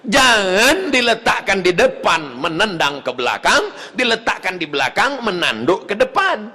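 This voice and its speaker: A male preacher giving a mosque sermon, swinging from quiet asides to loud shouted appeals. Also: sits high, big pitch swings, measured pace, slightly rough, big-room sound.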